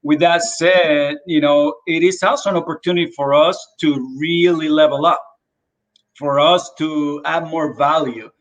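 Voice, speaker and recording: A man's voice: a little high, fairly even intonation, unhurried, muffled recording. A man from the Dominican Republic, a real estate agent and interview guest, telling his story animatedly and with many fillers over a video call.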